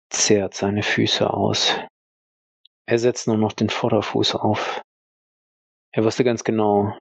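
A man narrating an audio role-play in the third person, halting, deliberate and sombre. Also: fairly low pitch, vocal fry, husky, dry recording, low-quality recording.

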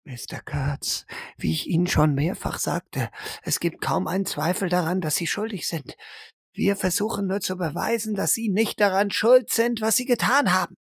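A performer playing a stern, insistent interrogator in an audio drama, deliberate and emphatic. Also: mid-range voice, creaky, husky, no echo, studio quality. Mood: grave, pressing. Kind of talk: dramatic audio play acting.

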